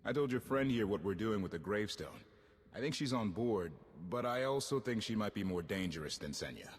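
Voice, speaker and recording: A man playing an easygoing, wry spaceship crewmate in a video game, talking casually in a husky voice. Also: fairly low pitch, lively intonation, a little fast. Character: easygoing, wry male spaceship-crew companion. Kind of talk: video game cutscene acting. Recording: long reverb.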